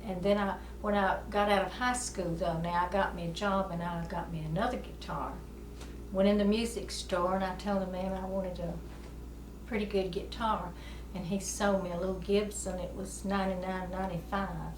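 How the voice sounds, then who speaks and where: A woman's voice: mid-range voice, steady tone, normal speed, echoey room, recorded from a distance, slight background noise, poor audio. A woman, reminiscing in a folksy, rambling way in an oral history interview, often chuckling. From South Carolina, USA. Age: 70s. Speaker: interviewee, amateur musician.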